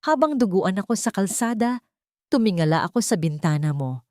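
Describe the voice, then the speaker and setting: A Filipino woman voicing a hurt, coldly resolute young wife in an audio romance, speaking in a calm, bitter, measured manner. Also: mid-range voice, no room sound, clean studio sound, close to an everyday voice. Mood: bitter grief.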